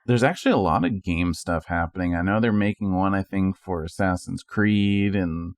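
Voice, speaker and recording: A man's voice: deep voice, normal speed, dry recording, clean studio sound. A man co-hosting a podcast, chatting casually in laid-back, slangy phrasing. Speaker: podcast co-host.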